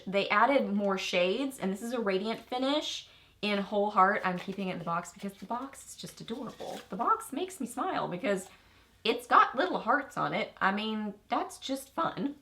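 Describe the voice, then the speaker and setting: Woman, Texan accent, beauty vlogger, casual chat, excitable, rambling. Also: medium pitch. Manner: bubbly, chatty, easily excited, with rambling asides. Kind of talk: casual makeup review.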